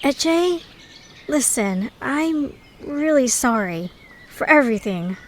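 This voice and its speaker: A woman playing an apologetic young woman, speaking softly in an amateur audio drama. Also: high voice, lively intonation, slow pace.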